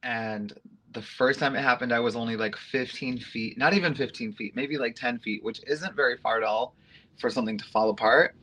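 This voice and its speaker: A man's voice: mid-range voice, normal speed, low-quality recording. Chatty man full of likes and laughs. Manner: loose and animated, with filler "like" and frequent laughter. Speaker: interview guest, aerialist and go-go dancer. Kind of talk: casual storytelling chat.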